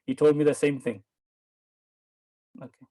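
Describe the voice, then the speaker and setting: A man from Bangladesh answering questions in an online Quran lesson, patient and explaining slowly with repeats and apologies. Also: on the high side, little pitch movement, mellow. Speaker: Quran teacher.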